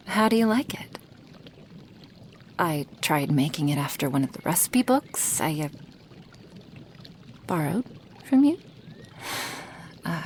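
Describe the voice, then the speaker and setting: A woman voicing an affectionate female dragon who brews potions, in a soft, intimate ASMR roleplay with breathy half-whispers and sighs. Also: mid-range voice, a little hiss or hum. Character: affectionate female dragon, a potion maker tending her human guest.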